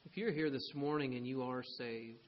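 A man leading the close of a church service in a quiet, soft-spoken way. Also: medium pitch, moderate pace, dull audio, slight background noise. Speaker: pastor. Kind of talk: closing prayer invitation.

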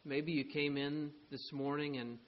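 A man leading the close of a church service in a quiet, soft-spoken way. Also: a little high, little pitch movement, a little slow, lo-fi sound. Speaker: pastor. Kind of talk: closing prayer invitation.

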